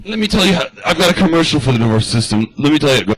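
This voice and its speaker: A man's voice: on the high side, sing-song, very fast, dry recording, rough recording. A man doing a rambling stand-up comedy monologue in the style of a radio address, loose and chatty, full of asides.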